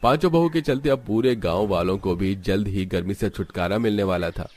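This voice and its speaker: A man's voice: fairly low pitch, fairly quick, a little mellow. A man giving scripted narration for a cartoon village story.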